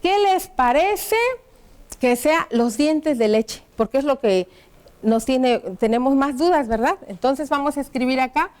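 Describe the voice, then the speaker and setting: High-voiced woman teaching a class. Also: lively intonation, unhurried, a little mellow. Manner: patient, explanatory, frequent check-in questions. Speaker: primary school teacher. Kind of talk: educational TV class, addressing pupils.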